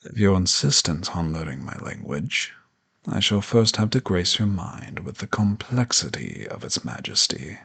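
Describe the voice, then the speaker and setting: A man playing a haughty, ancient dragon professor in an audio roleplay, speaking with pompous, condescending, ornate formality in a gravelly, husky voice. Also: sits low, sing-song, a little slow, fairly bright tone, exaggerated voice. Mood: haughty, mocking. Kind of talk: scripted audio roleplay monologue addressed to the listener.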